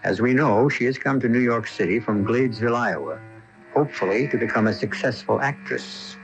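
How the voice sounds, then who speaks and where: A man playing an ominous storyteller hosting a radio mystery, with deliberate theatrical phrasing and dramatic pauses. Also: mid-range voice, faint music behind, lightly acted. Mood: intriguing. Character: ominous storyteller man introducing an uncanny tale. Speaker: host of a radio mystery anthology. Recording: muffled AM radio broadcast recording.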